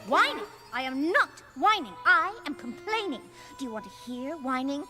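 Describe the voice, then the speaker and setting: Fussy ladylike cartoon pony, very high voice, lively swoops, haughty, theatrical, refined diction. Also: normal speed, loud music behind the voice. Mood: whining. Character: prissy, dramatic, fussy ladylike young female pony.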